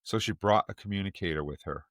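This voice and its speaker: A man's voice: low voice, fast, fairly bright tone, dry recording, studio quality. A man hosting a podcast, talking in a casual discussion style. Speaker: podcast host.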